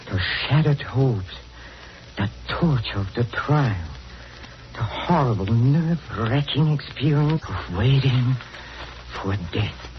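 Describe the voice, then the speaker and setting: Casting: guilt-ridden, ugly, clumsy man near hysteria, slow melodramatic delivery, muffled vintage radio sound. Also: medium pitch. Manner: melodramatic, halting, stammering repetitions. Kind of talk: 1940s radio horror acting, first-person narration and dialogue.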